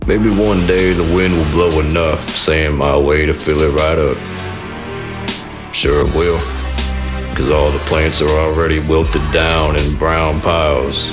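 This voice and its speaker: A man's voice: extremely low pitch, level tone, measured pace, over music. A man playing a weary, bitter with a folksy drawl in an audio drama monologue. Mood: weary resignation. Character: weary, bitter Texan man living on dead, sandy land.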